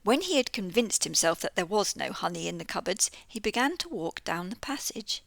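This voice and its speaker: A British woman reading a children's story aloud in an expressive, lilting way. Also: medium pitch, brisk, vocal fry, dry recording. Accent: British English. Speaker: audiobook reader.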